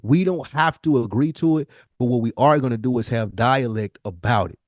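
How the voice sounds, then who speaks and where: A man's voice: mid-range voice, brisk, darkish tone, no echo, studio quality. A man chatting casually and candidly as a podcast co-host, using plenty of slang. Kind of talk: casual conversational podcast chat.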